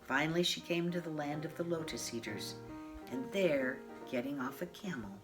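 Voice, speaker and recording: A woman of mature years reading a children's picture book aloud in a measured, expressive way. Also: low-pitched, poor audio. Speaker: storybook reader. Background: classical piano music.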